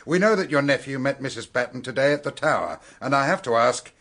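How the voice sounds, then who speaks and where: Mid-pitched, blunt British detective. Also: male voice, expressive, quick pace, fairly bright tone. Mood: pressing, stern. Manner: businesslike, blunt, clipped. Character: brisk, no-nonsense male police detective. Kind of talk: radio drama acting.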